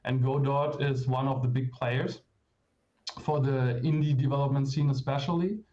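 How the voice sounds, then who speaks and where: A man's voice: mid-range voice, steady tone, normal speed, warm tone. German man hosting, in dull roomy audio. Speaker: interviewer and host of a games event. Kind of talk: casual livestream interview.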